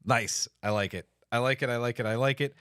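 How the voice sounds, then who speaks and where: A man's voice: medium pitch, fairly quick, a bit bright, smooth, no echo, clean studio sound. A man hosting a podcast in an enthusiastic, casual, chatty way. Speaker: podcast host.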